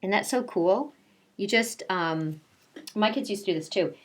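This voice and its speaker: A woman's voice: medium pitch, moderate pace. A woman kindergarten teacher doing story time for young children, talking to them directly in a conversational way. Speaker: kindergarten teacher reading a picture book aloud. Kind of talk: story time for young children, read-aloud mixed with casual chat.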